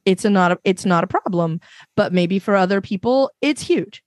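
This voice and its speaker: A woman with a fairly low voice, speaking emphatically and passionately as a panelist in a casual discussion. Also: animated, normal speed, no room sound, studio quality. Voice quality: reasonably low voice. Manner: emphatic, passionate, many fillers. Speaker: podcast panelist.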